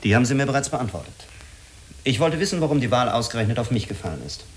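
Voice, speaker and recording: Man playing a brisk, sceptical commander. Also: medium pitch, very animated, a little hiss or hum, close to an everyday voice. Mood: curt, sceptical. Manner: curt, questioning, sceptical. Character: sceptical, blunt male spaceship commander. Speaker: actor in a radio drama. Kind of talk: German radio drama acting.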